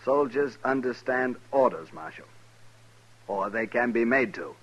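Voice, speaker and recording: A man's voice: medium pitch, expressive, a little slow, lo-fi sound. A man playing a cold, smug veteran Army captain, formal and sardonic, in 1950s radio western style. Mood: stern, menacing.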